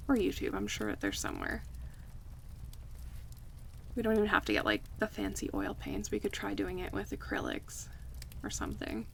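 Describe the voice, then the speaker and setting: A woman playing an affectionate, playful close friend in a casual, relaxed ASMR roleplay, chatting and teasing in a conversational way. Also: medium pitch, moderate pace, vocal fry, long pauses, a little hiss or hum.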